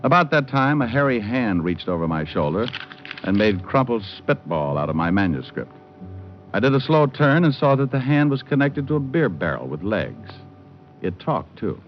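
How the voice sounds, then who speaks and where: A wisecracking private eye from an old noir radio show. His very low voice swings expressively, smooth and sardonic. The recording is muffled, with music. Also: big pitch swings, normal speed. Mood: wry, sardonic. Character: wisecracking, suave male private detective. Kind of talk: first-person noir radio narration and dialogue.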